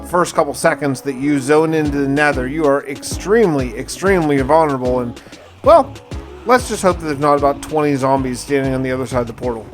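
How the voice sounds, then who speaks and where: Guy with a kinda higher voice doing a casual gameplay recap, informal and musing out loud. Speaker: gaming video narrator. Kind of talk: casual first-person gameplay recap narration.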